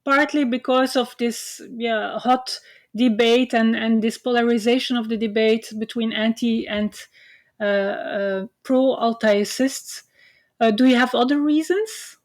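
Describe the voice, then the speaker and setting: A woman's voice: a little high, steady tone, measured pace. Dutch-speaking woman lecturing with many fillers. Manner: hesitant, many uh fillers, explanatory. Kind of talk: online university lecture. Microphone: computer mic on video call.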